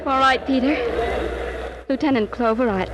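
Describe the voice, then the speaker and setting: A woman's voice: sits high, moderate pace, lightly acted. A woman playing an earnest, devoted young wife. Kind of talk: 1950s radio drama acting. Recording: old radio recording with heavy hiss.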